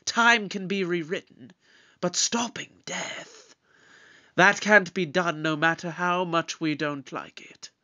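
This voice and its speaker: A man's voice: high-pitched, fairly even intonation, slow, pushed and bright, heavily acted. A man playing a bold, moralizing alien time-traveler in an audio drama, in a declamatory, pompous manner. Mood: defiant, stern.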